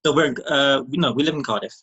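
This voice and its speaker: A man's voice: medium pitch, fast talker, fairly bright tone, husky, dull audio. A British man in his thirties chatting as a podcast guest, rambling with lots of ums and restarts and laughing easily. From Britain. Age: 30s. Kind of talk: casual chat.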